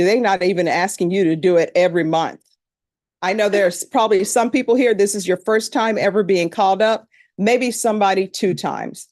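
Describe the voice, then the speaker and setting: A middle-aged woman judge with a Louisiana accent talks to a jury panel in a courtroom. She speaks in a plain-spoken, conversational way. Her voice sits at an ordinary pitch and sounds close and dry. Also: darkish tone, no room sound.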